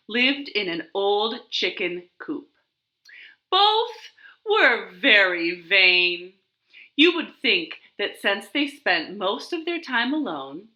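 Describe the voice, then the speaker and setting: Animated, bright-voiced woman hosting children's storytime. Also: on the high side, lo-fi sound. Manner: expressive, simple instructions addressed to young children. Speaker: library storytime host. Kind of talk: children's storytime talk and read-aloud. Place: indoors, living room at home. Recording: roomy home living-room echo.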